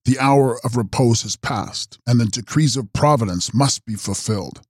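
A man voicing a mysterious, ageless male count who makes grave, measured prophecies in an audiobook. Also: mid-range voice, breathy edge, no room sound, studio quality, close to an everyday voice. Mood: prophetic. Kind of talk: audiobook character reading.

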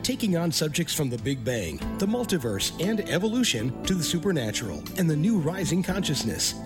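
A man delivers a scripted show intro in dramatic announcer style. His pitch is fairly high and swings widely. Loud guitar music plays under him.

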